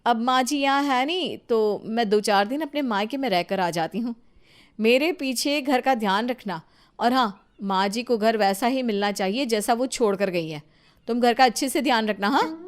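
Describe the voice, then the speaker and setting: A crafty elder sister-in-law in a cartoon family tale, voiced by a woman, pitched high. She is breezy and wheedling, dodging chores while bossing the younger wife around. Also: normal speed, lightly acted. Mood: breezy, instructing. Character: sly, shirking, bossy elder sister-in-law.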